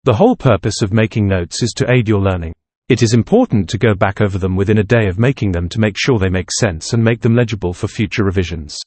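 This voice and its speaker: A man reads a written passage aloud as a narrator for test practice. His delivery is measured, careful and neutral, recorded very dry with no room sound. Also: fairly low pitch, darkish tone, no room sound.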